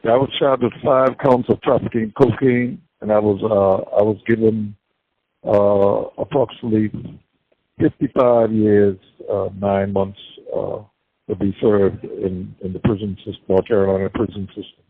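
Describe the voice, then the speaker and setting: An older man, a prisoner giving an interview, speaking haltingly with many fillers and repeats and a devout tone. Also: fairly low pitch, fairly even intonation, breathy edge, no echo. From Bahamas. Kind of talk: casual interview talk. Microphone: prison phone call. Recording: tinny phone line.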